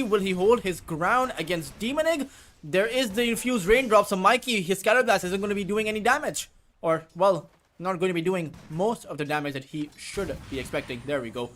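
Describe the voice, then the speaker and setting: A man who speaks Urdu as his first language, casting a match alone, with rambling asides between quick play calls. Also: high-pitched, fairly bright tone, a little hiss or hum. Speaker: esports caster. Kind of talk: solo play-by-play commentary.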